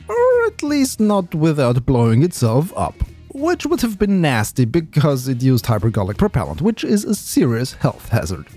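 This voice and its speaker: A man narrating his space vlog with enthusiastic, lively storytelling. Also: fairly high pitch, slightly rough. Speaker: space video creator.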